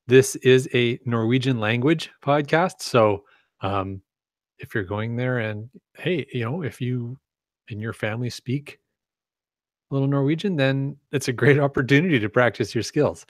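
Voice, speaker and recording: Laid-back podcast cohost guy, agreeable, slightly low voice, dry close mic. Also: moderate pace, no echo. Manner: easygoing, agreeable, laughs often. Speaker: podcast co-host. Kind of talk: casual podcast chat.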